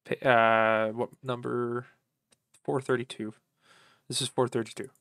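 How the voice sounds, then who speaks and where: A man's voice: mid-range voice, level tone, measured pace, gravelly, a bit bright, smooth, dry recording, clean studio sound. A man chatting casually and informally, rambling in folksy grammar as he vlogs about his collection. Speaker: YouTube vlogger, vintage electronics collector. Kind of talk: casual rambling vlog chat.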